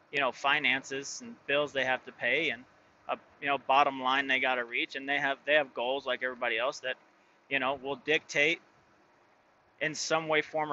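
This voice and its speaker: A man's voice: medium pitch, sharp tone, muffled recording, slight background noise. A man who drives his own truck, talking casually and rambling, full of fillers and restarts. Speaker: truck driver and owner operator. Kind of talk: casual vlog talk.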